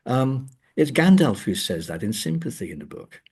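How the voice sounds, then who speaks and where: A man speaking as an academic interview guest, discussing books and films in a hesitant, thoughtful, halting way. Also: mid-range voice, sing-song, a little mellow, husky. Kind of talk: conversational literary and film discussion.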